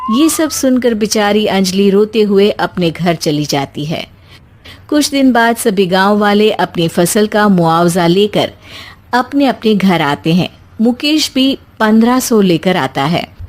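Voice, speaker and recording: A woman's voice: medium pitch, expressive, measured pace. A woman narrating a scripted story as a storyteller.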